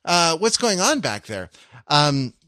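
A man's voice: fairly high pitch, sing-song, pushed and bright, no echo. Man thinking aloud, close dry sound. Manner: halting, self-correcting, analytical. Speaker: podcast co-host. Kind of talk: casual discussion.

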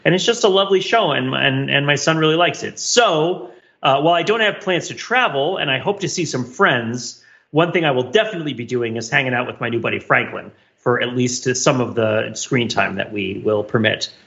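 A middle-aged American man with a bright, forward voice, co-hosting a casual podcast. He rambles through his points like an eager storyteller, and the audio is a little dull. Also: mid-range voice, brisk, vocal fry. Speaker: podcast co-host.

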